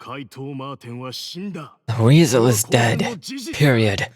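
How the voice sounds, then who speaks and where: A man voicing a gruff, irritable retired magician who talks in a curt, condescending way. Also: medium pitch, very slow. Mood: dismissive.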